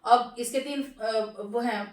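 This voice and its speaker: A woman's voice: a little high, far from the mic. Lady teacher, scratchy sore throat, asks then answers her own questions, roomy echo. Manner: slows down to spell out English terms. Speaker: economics teacher. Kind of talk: classroom lecture. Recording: echoey room, voice from off mic.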